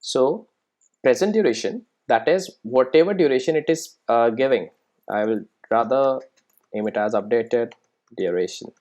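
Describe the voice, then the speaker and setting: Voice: mid-range voice, expressive, moderate pace, a little mellow. A programming instructor talking through a coding tutorial step by step, informally, often checking in with short tag questions. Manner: informal, frequent check-in tags like "right?" and "make sense?". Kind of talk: screen-recorded coding tutorial, explaining step by step.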